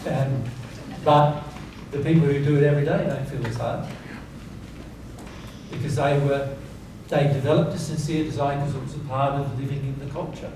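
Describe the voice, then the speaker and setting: A man's voice: mid-range voice, fairly even intonation, normal speed. A man teaching spiritual principles informally to an audience, emphatic, repeating key words and asking rhetorical questions to check they follow. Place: reverberant seminar room with audience. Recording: very echoey, noisy room, distant mic.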